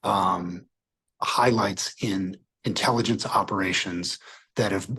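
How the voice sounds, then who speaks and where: A man's voice: deep voice, fairly even intonation, takes it slowly, pushed and bright, breathy edge. A man with an American accent giving unscripted interview commentary as a security expert.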